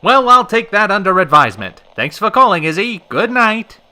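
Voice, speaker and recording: An actor playing a wry, smooth-talking radio host with a wordy, polished, dryly sarcastic manner in an old-time radio drama. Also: male voice, sits high, sing-song, normal speed, pushed and bright, full character voice. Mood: dryly patient.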